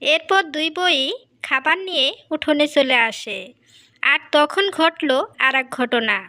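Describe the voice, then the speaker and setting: A woman reads scripted fairy tale narration as a storyteller. The voice is high and bright, with music playing behind it. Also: lively intonation, unhurried.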